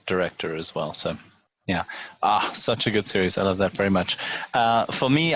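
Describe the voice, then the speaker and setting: A man's voice: lowish voice, fairly bright tone, dry recording, rough recording. A man hosting a casual podcast chat in an irreverent, wry way, with some casual swearing. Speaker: podcast host.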